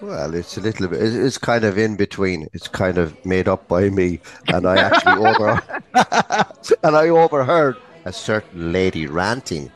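A man's voice: low-pitched, very animated, normal speed, fairly bright tone. A man co-hosting a comedy podcast, telling bawdy stories crudely and with theatrical emphasis. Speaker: podcast co-host, comic storyteller. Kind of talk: bawdy comic storytelling.

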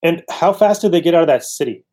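A man's voice: a little high, lively intonation, fast talker, dark tone. A man co-hosting a casual chat show, with a dry, joking way of talking. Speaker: podcast co-host. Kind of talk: casual chat reviewing old radio serials.

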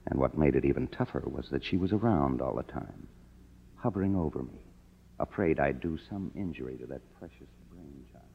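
A man's voice: very deep voice, animated, moderate pace, vocal fry, slight background noise, heavily acted. An American man acting as a cynical, broke young screenwriter in a 1950s radio drama, wry and sardonic. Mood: wry, weary. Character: cynical, broke young screenwriter narrating his own story. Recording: muffled old radio broadcast, music behind.

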